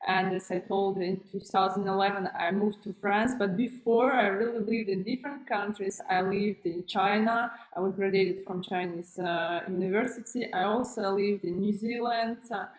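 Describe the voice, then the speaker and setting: A woman's voice: medium pitch, steady tone, moderate pace, echoey room, poor audio. A woman in her thirties from Kazakhstan giving a live online presentation through her webcam, talking with many fillers and restarts in phrasing. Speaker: webinar presenter, school founder. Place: room with plain wall, indoors. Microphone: webcam on a computer, video call framing.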